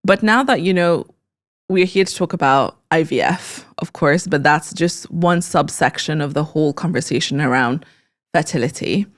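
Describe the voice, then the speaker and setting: A woman's voice: low voice, normal speed. A woman hosting a conversational interview in an earnest, effusive manner. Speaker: podcast host.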